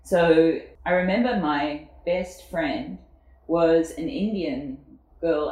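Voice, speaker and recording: A South African woman in her forties speaks in a low voice. She talks reflectively, pausing on fillers, and the sound rings around an echoey room. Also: darkish tone. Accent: South African English. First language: English. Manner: reflective, with frequent fillers and laughs. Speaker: guest. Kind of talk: casual conversational interview.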